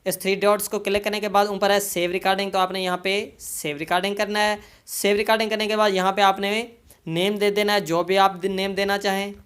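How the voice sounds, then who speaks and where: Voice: mid-range voice, flat delivery, fairly quick, a bit bright, clear, resonant tone. A tutorial host giving casual step-by-step how-to narration, often addressing viewers as friends and leaning on repeated filler phrases.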